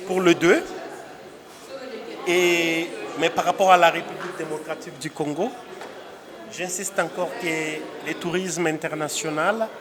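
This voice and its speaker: A man's voice: fairly high pitch, fairly even intonation, measured pace, a crowd in the background. A man giving an insistent answer in an interview at an event. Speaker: interview guest.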